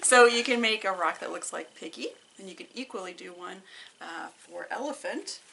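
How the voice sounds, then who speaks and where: A woman's voice: medium pitch, moderate pace, vocal fry, bright, forceful tone, a little hiss or hum, poor audio. A woman hosting a story time, chatting casually to children. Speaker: story reader and host.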